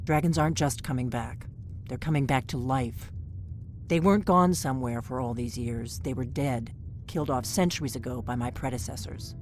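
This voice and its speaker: Secretive innkeeper spy. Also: female voice, very deep voice, moderate pace, darkish tone, a little hiss or hum. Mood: urgent. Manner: cautious, urgent, conspiratorial.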